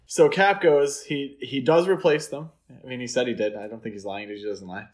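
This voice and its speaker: Male podcast co-host, mid-range pitch. Conversational, opinionated, explanatory, informal film-discussion delivery. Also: moderate pace. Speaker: podcast co-host and article writer.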